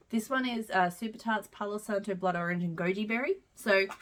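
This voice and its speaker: A woman's voice: on the low side, fairly bright tone. A woman with a head cold and a congested voice, casually reviewing her used-up wax melts at home in a rambling, chatty way. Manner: rambling, chatty, frequent "um" and side tangents.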